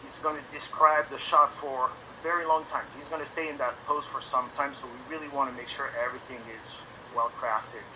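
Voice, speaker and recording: A man's voice: mid-range voice, fairly even intonation, brisk, few pauses. Hesitant French-accented man, noisy audio. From France. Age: 40s. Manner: hesitant, many ums and restarts. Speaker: animation guest on a stream. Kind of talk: casual interview talk.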